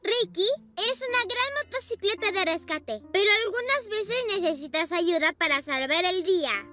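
An actor voicing an enthusiastic, supportive little vehicle friend in a children's cartoon, cheerful, expressive and encouraging. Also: very high voice, moderate pace, exaggerated voice. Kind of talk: animated children's cartoon dubbing. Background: music behind the voice.

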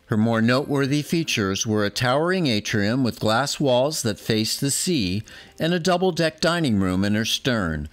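Narrator, man, sixties, steady documentary read, faint music behind. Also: mid-range voice. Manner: measured, informative, fond of the subject. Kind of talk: scripted voice-over narration.

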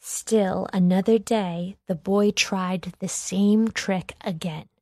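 A woman narrating a children's read-along storybook with careful, deliberate phrasing. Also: medium pitch, steady tone, a little mellow, dry recording.

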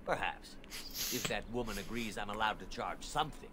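An actor playing a surly, grasping merchant in a video game, gruff and defensive as he haggles. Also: fairly low pitch, animated, fairly quick, noisy background, close to an everyday voice. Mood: grudging. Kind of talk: video game character acting.

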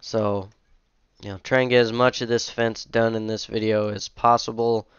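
A man's voice: on the low side, little pitch movement, moderate pace, smooth, no room sound, muffled recording. Sniffly young man with a cold. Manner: rambling, self-correcting, many fillers. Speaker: modding streamer. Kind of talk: casual commentary while editing a map. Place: home room at a computer. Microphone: headset.

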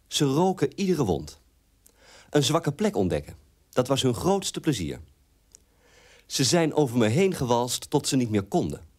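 A man acting in a radio drama as a bitter, wounded grown son, speaking in a measured way. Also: on the low side, a little mellow, close to an everyday voice.

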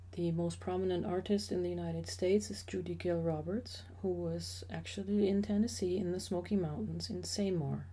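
A middle-aged woman narrating a slideshow about her woodworking hobby in a measured, explanatory way with occasional fillers. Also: lowish voice, mellow. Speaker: associate professor sharing a hobby.

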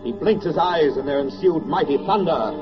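A man's voice: on the high side, lively intonation, lightly acted. Actor as a declamatory 1940s radio drama narrator, male. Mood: sardonic. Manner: declamatory, ironic, measured. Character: grave, bitterly ironic wartime narrator. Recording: dull vintage 1940s radio recording, music behind. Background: music.

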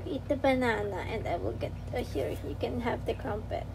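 A woman's voice: on the high side, brisk, vocal fry, a little mellow. A woman playing pretend with her child in a sing-song, coaxing, doting way. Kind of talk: improvised roleplay over a toy app. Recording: noisy homemade tablet recording.

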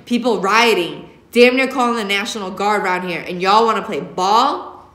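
A woman voicing an angry father scolding his teenage kids in a forceful, commanding way, in an audiobook-style story reading. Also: mid-range voice, moderate pace, natural voice. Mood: stern, scolding. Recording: echoey classroom.

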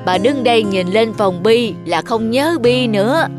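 A woman playing a gentle, timid elderly grandmother who sells snacks, in a cartoon. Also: fairly high pitch, expressive, measured pace, music in the background. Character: kind, slow, timid elderly grandmother street vendor.

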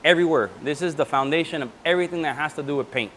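A man's voice: on the high side, rapid-fire, fairly bright tone, a little hiss or hum. A man in his thirties hosting a custom paint class, talking loosely and joking, often trailing off mid-sentence, on a lapel mic indoors. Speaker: host and paint maker. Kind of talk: casual workshop teaching. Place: indoor event room before a backdrop.

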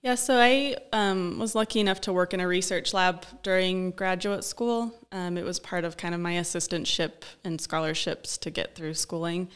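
A young woman with a smooth, clear voice that sits a little low for a woman, explaining things thoughtfully with frequent "um" pauses. She speaks close to a desk broadcast mic in a small studio office. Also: steady tone. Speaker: speech-language pathologist guest. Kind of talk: conversational interview. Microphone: desk-mounted broadcast mic.

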